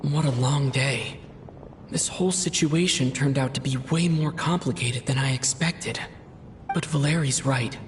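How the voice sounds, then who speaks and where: A man's voice: measured pace, natural voice. An actor playing a tired, anxious man thinking to himself in a video game. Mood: weary, uneasy. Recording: long reverb, faint rumbling noise.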